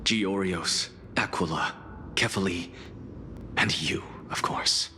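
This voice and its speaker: A man's voice: low-pitched, takes it slowly, background noise. A man voicing a proud, sardonic scholar and defiant alchemist in a video game, with a dry, theatrical, biting delivery. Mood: grave, reflective. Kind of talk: dramatic video game voice acting. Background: music.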